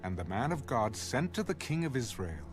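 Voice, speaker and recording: A man acting as a solemn scripture storyteller delivers dramatic scripted narration. His mid-pitched voice moves with animated intonation over a clear bed of music. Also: normal speed, lightly acted.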